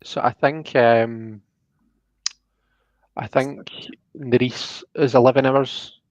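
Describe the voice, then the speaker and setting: A man's voice: medium pitch, fairly even intonation, takes it slowly, dry recording, muffled recording. A man hosting a casual live stream in a chatty, informal, friendly way. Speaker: host.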